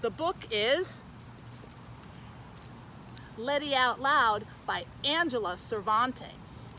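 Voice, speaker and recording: A woman's voice: on the high side, expressive, extremely slow, bright, forceful tone, slight background noise, rough recording. A woman speaking as a youth librarian, presenting and reading a children's book aloud, recorded on location.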